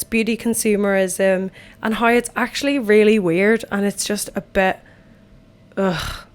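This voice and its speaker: A young Irish woman hosts her own podcast, chatting casually from an empty bathtub at home. Her voice is a bit croaky from a night out, and she rambles along in a self-deprecating way. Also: medium pitch. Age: 20s. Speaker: podcast host. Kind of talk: casual solo podcast chat.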